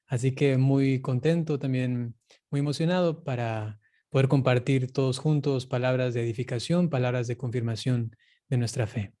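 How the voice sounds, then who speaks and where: Mellow Ecuadorian man, earnest priest being interviewed. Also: medium pitch, fairly even intonation, normal speed. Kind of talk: reflective interview talk.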